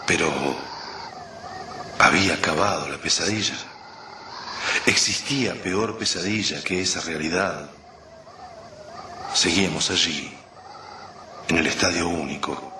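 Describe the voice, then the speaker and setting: An actor playing a desperate but resolute survivor of terrifying hallucinations in a radio drama, with an Argentine accent and a dramatic delivery. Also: male voice, sits low, noisy background. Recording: echoey, music bed behind.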